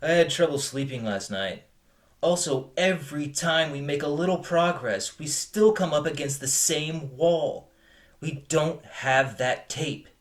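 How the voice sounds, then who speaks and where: A man acting a dry-witted partner in an amateur investigation, speaking in a measured way in an audio drama. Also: a little high, natural voice. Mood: weary, discouraged.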